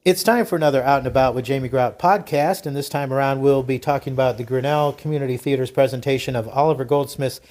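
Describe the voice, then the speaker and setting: A man's voice: medium pitch, fast talker, few pauses, a little mellow, no room sound. An American man hosting a podcast in a folksy, chatty way, reading sponsor messages and interviewing guests. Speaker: podcast host. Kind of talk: sponsor reads and conversational interviewing.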